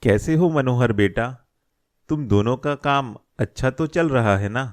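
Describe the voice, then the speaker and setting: An elderly grandfather from a cartoon moral tale, acted by a man. He speaks slowly and plainly at a middling pitch. He is a hurt, grumbling shopkeeper who feels let down by his son. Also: lightly acted. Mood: welcoming. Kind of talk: Hindi moral-story cartoon dialogue.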